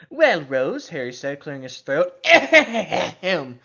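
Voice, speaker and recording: A man's voice: high-pitched, sing-song, slow, gravelly, bright, forceful tone, husky, muffled recording. A man co-hosting a casual fan fiction read-aloud, slipping between mock dramatic reading and sarcastic, slangy asides.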